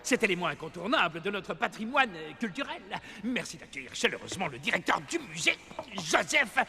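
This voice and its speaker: An actor playing a pompous, self-important official making grand ceremonial announcements in an animated film. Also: male voice, high-pitched, fairly quick, gravelly, exaggerated voice. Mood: boastful, grand. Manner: grandiose, theatrical public announcing. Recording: long hall reverb, music behind.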